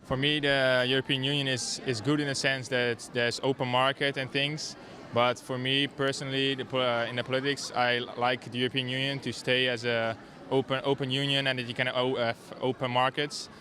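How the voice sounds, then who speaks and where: Dutch guy in his 20s, super flat monotone but bright tone, kinda hesitant. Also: mid-range voice, a little fast, few pauses. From the Netherlands. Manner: flat, hesitant opinion-giving. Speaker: interviewee. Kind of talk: casual interview answer.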